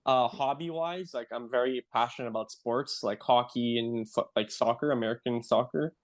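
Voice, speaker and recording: A young adult man from Canada with a Canadian accent, a guest in a casual interview, talking in a relaxed way and saying "like" often. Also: medium pitch, normal speed. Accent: Canadian English. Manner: relaxed, filler-heavy, says "like" often.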